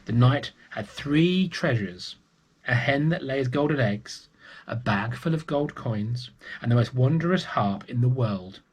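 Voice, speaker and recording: A man voicing a kindly old woman telling of past wrongs in a solemn, deliberate way for a children's storybook. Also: medium pitch, lively intonation, husky, rough recording. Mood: grave, urging.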